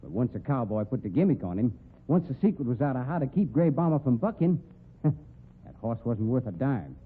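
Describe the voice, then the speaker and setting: A man's voice: mid-range voice, very animated, fairly quick, slightly rough. A man playing a tough, plain-spoken rodeo cowboy sleuth with a folksy American drawl, in old-time radio drama style. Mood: shrewd, accusing. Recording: muffled lo-fi old radio recording.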